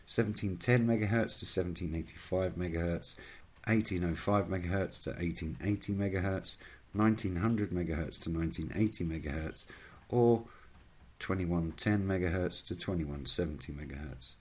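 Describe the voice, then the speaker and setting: A man with a husky voice reading a scripted narration as a channel host, in a formal, measured manner. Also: sits low, darkish tone.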